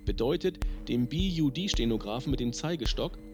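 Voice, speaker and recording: A man's voice: medium pitch, lively intonation, brisk. A man reading a novel aloud, voicing the characters in dry, clipped narration. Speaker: audiobook reader. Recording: music loud underneath.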